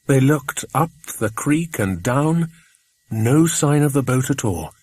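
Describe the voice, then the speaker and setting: A man reading a children's adventure audiobook, an expressive scripted narration. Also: medium pitch, takes it slowly.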